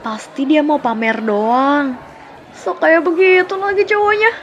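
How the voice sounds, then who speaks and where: A woman's voice: high-pitched, measured pace. A woman playing a catty, mocking young frenemy gossiping in an audio roleplay. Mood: snide, mocking. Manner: mocking, snide. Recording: muffled, noisy chatter behind.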